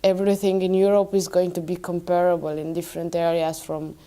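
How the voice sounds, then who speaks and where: A woman giving measured interview answers. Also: on the low side, little pitch movement, warm tone.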